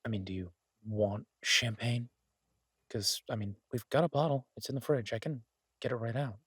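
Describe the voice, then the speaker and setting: A man playing an easygoing, affectionate chef in an intimate boyfriend roleplay audio, talking in a husky, halting, teasing way to a partner. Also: mid-range voice, fairly even intonation, a bit bright, no room sound, studio quality. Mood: casual, wistful. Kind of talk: intimate boyfriend roleplay audio, casual one-sided chat.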